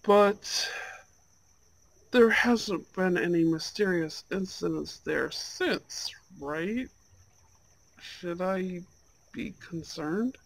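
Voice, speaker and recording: Performer playing a young Goth gentleman, soft-spoken, unhurried, courteous, charming, slightly old-fashioned wording, ASMR blind-date roleplay. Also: mid-range voice, creaky, dry recording, heavily acted, low-quality recording. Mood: curious, uneasy. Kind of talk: ASMR roleplay, speaking to an unheard listener.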